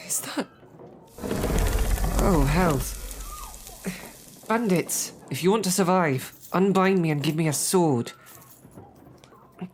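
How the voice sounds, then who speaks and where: A woman's voice: on the low side, background noise. A woman playing a snarky, defiant captive knight loyal to her princess, speaking in formal, chivalrous phrases with dry sarcasm in an audio drama. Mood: alarmed, urgent. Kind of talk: scripted audio roleplay drama.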